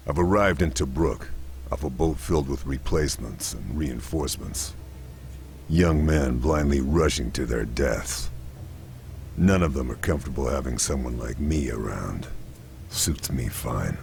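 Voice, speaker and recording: A solitary, war-hardened sniper with an extremely low voice full of gravel. He narrates a game cutscene in clipped, brooding deadpan, grim and weary. Music plays clearly behind him. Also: heavily acted.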